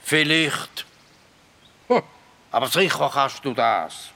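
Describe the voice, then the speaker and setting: A man playing an eccentric, cryptic forest spirit in a children's radio play, with a Swiss German accent and a gravelly voice. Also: a little high, expressive, bright, forceful tone, heavily acted. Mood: cryptic, encouraging. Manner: slow, drawn-out, cryptic.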